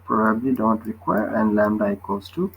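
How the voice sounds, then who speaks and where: A man's voice: fairly low pitch, steady tone, moderate pace, breathy edge, poor audio. A man tutoring physics, explaining a worked problem step by step and often checking with short questions.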